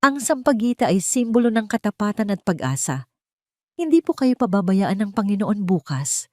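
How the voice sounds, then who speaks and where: A woman with a Filipino accent voicing a devout, gentle young beggar girl in an audio story. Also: mid-range voice, very animated, normal speed, dry recording, clean studio sound, pitched above the performer's own voice, lightly acted. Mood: tender hope.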